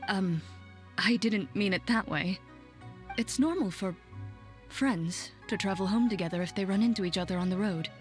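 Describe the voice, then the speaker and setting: A woman voicing a composed, reserved, dutiful bodyguard in a video game, courteous and dry, with measured, formal speech. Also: medium pitch, soft background music, lightly acted. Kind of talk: video game character acting.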